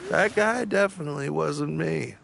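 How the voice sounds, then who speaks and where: A man's voice: on the high side, normal speed, vocal fry, a bit bright. A man voicing a lazy, sleepy young animal making groggy, whiny excuses in a video game. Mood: sheepish, reluctant. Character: lazy, sleepy young male paper animal dodging work.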